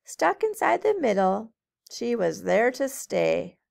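A woman teacher reading a storybook aloud to children in a measured, sing-song way. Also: a little high, big pitch swings, gravelly, darkish tone. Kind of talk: storybook read-aloud narration.

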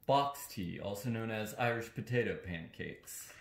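Young man, casual chat, halting slow delivery, echoey room. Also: lowish voice, expressive, vocal fry. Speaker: co-host answering and asking questions. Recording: roomy, echoing sound.

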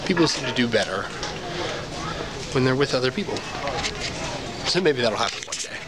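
A young man rambles and jokes at himself as he vlogs into a handheld camera. His voice swoops in a lively way, and loud store and street noise sits behind him. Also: medium pitch, moderate pace. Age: 20s. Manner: rambling, self-mocking, jokey asides. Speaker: vlogger. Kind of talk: casual vlog to camera. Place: supermarket, gas station and hardware store. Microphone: handheld camera at arm's length.

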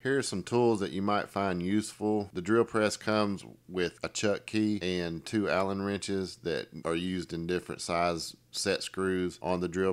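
A man with a very low-pitched voice talks through a hands-on tool review. He explains each step casually and practically, in plain everyday words, as a hobbyist would. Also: smooth.

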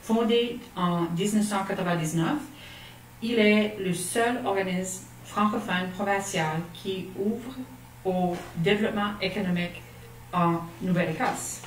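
A woman's voice: mid-range voice, normal speed. A middle-aged woman reading a formal scripted presentation aloud as an officer at a lectern. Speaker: economic development officer giving a presentation. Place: meeting room indoors, at a lectern. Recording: echoey room, distant mic, faint noise.

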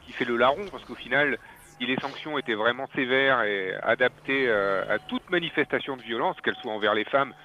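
Bright-voiced man calling in over a dull line. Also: mid-range voice, fairly quick. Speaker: caller. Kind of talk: call-in opinion. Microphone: internet call. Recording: dull call audio.

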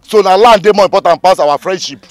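A man's voice: high voice, fast talker, no echo, natural voice. A man with a Nigerian accent playing a brazen, self-important community youth leader in a comedy skit, arguing emphatically. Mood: indignant. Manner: argumentative, emphatic, repeats himself. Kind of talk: comedy skit acting in Pidgin English.

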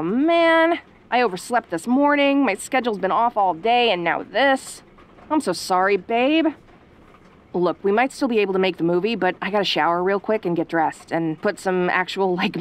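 A woman's voice: medium pitch, lightly acted. A woman playing a sassy tsundere tomboy daughter in an audio roleplay, talking in a casual, defensive, sarcastic way. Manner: casual, defensive, sarcastic, hesitant when sentimental.

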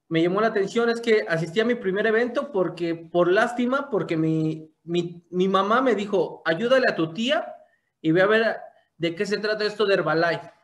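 A young man giving a motivational business testimony on a video call, telling his story and throwing rhetorical questions at his listeners. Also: high voice. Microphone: webcam on a video call.

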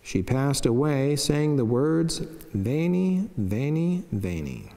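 A middle-aged man with a soft, mellow voice reads a devotional text as a friar would, solemn and measured. He speaks into a lectern microphone, and the chapel adds a long reverb around his words. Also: mid-range voice, big pitch swings. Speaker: Franciscan friar and priest. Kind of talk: devotional reading of a saint's life, scripted. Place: wood-panelled chapel, indoors.

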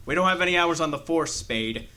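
An actor playing a suspicious, badgering police lieutenant in a hard-boiled radio drama, curt and confrontational. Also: male voice, a little high, expressive, a little fast, pushed and bright.

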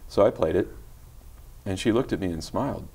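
Middle-aged man, TV studio guest, soft and gentle with hesitant restarts, somewhat low voice, lapel mic. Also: a little fast, creaky, low-quality recording. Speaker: guest musician. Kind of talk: casual interview talk. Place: tv studio set.

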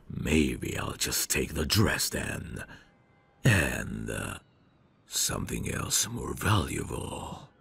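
A man's voice: rumbling low, gravelly, fairly bright tone, breathy edge, full character voice. A man playing a menacing, lecherous street mugger in an ASMR roleplay, taunting and threatening.

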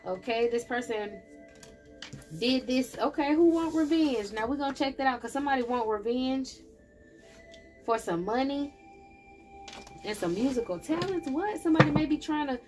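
A woman's voice: sits high, animated, moderate pace, long pauses, darkish tone, soft background music. A woman giving a casual tarot reading, chatting straight to her viewers. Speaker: tarot reader. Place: indoor table with candles and plants.

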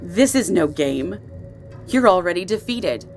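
A woman playing a protective, dangerous mother in a supernatural audio drama, speaking in a measured, cool, imperious manner. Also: on the low side, lively intonation, faint music behind. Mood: cold, defiant. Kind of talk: audio roleplay drama acting.

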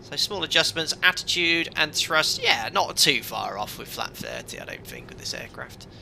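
Bright-voiced man talking through a flight. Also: on the high side, moderate pace, vocal fry, slight background noise, low-quality recording. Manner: calm running commentary, reads out checklist items. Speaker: airline pilot streaming a flight simulator. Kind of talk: live commentary while flying.

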